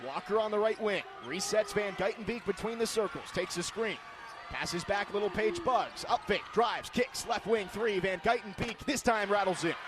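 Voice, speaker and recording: High voiced guy doing live sports play by play, clipped calls, noisy game broadcast behind him. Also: normal speed. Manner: clipped, vivid play calling that often rises to shouts.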